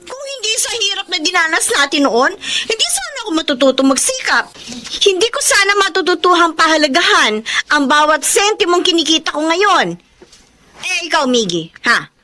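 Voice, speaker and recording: A woman's voice: very high-pitched, big pitch swings, normal speed, bright, forceful tone, a little hiss or hum, exaggerated voice. A woman playing an overburdened young breadwinner daughter in a Filipino radio drama, emotional, weary and firm. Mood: exasperated scolding. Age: young adult. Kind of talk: Tagalog radio drama acting.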